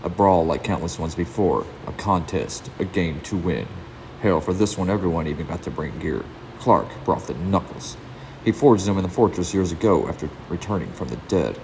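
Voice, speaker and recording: A man's voice: low-pitched, lively intonation, normal speed, a little mellow. A man hosting a comic book channel, talking casually and narrating a read-along. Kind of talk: casual vlog talk and dramatic comic read-aloud narration. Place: indoor room. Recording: echoey reverberant room, audible background noise.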